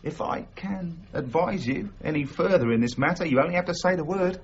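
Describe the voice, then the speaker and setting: An actor playing a cocky, smooth-talking con man who flatters and schemes in a period radio drama. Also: a little high, brisk, muffled recording, slight background noise. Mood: flattering, oily.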